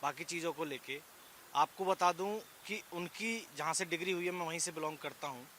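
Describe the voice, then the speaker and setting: A man's voice: fairly high pitch, a little fast, fairly bright tone. A man from northern India with a North Indian accent debating on a panel, repeating himself as he argues, with slight hiss. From Aligarh, northern India.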